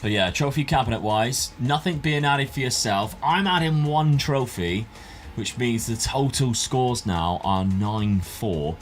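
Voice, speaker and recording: A man's voice: medium pitch, animated, measured pace. A man hosting a football management game video in an informal, conversational way. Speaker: gaming video host. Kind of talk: scripted narration and casual chat over a football management game.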